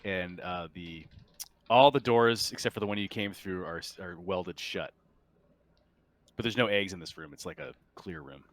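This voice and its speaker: A man narrating a tabletop roleplaying game as game master in a halting, casual way. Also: low voice. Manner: halting, casual, pieces descriptions together aloud.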